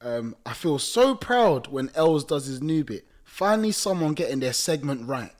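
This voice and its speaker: Bloke on a podcast, bit high, bouncy voice, teasing and laughing while reading comments. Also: male voice, big pitch swings, normal speed. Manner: teasing, laughs often, reads aloud. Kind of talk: casual banter.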